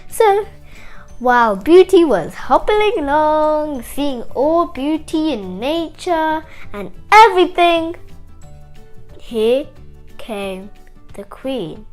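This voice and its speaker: A woman with a high, lively voice tells a story for children over music. She takes it slowly, with big swings of intonation, and chats directly to her young listeners. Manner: animated, chatty, asks the listener questions. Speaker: children's story show host.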